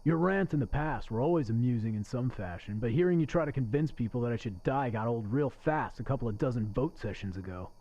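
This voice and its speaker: Man playing a sarcastic, animated slacker, slightly muffled. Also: mid-range voice, brisk, few pauses. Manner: sarcastic, needling. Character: crude, bitter restaurant server facing execution, secretly lonely. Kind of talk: radio play acting.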